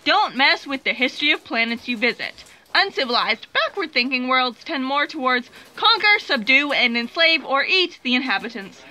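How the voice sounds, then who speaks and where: An earnest, easily flustered female fact checker, very high and piercingly bright. She explains things in a bookish way for a comic sci-fi audio play. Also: sing-song, moderate pace, hardly any pauses, exaggerated voice, low-quality recording. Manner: hesitant, then bookish and explanatory.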